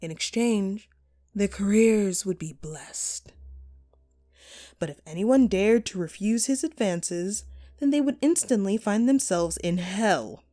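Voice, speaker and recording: Casting: woman to play a scorned actress turned sadistic captor for horror audio drama; unhurried, highly animated delivery, mocking and menacingly playful. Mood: mocking, bitter. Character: vengeful jilted actress, a sadistic hostage-taker playing director.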